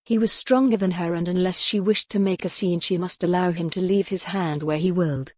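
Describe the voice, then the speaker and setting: A woman's voice: lowish voice, fairly even intonation, fairly quick, darkish tone, no room sound, studio quality. A woman reading scripted, dramatic narration for a historical romance audiobook.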